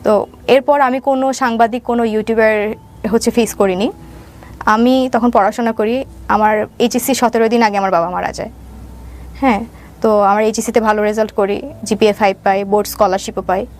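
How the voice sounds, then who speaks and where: A young woman with a Bangladeshi accent and a fairly high voice. She recounts her own life as an interview guest, hesitating and circling back on filler phrases. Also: fairly even intonation, brisk, a little mellow. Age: young adult. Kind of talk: personal storytelling in an interview.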